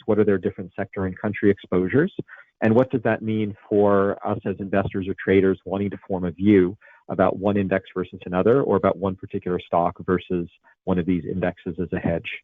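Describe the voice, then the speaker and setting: Presenter, male, American accent, low, heard over a thin phone-like call line. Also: no room sound. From Italy. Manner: many fillers and restarts, conversational lecturing. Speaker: webinar presenter. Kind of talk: presenting a finance webinar. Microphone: video call. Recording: tinny phone-like line, dry.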